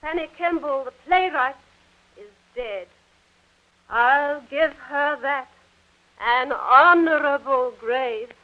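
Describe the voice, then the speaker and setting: On a dull 1940 radio broadcast, a theatrical woman with a high voice. She speaks emphatically and dramatically, in the manner of an old radio play. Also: slow, pauses often. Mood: mournful, grandiose. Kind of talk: 1940s radio drama acting.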